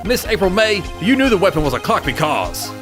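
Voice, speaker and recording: A man's voice: high voice, sing-song, brisk. A man voicing an earnest young male defense lawyer, determined and pressing. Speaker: defense attorney. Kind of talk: fan dub of game dialogue. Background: game music.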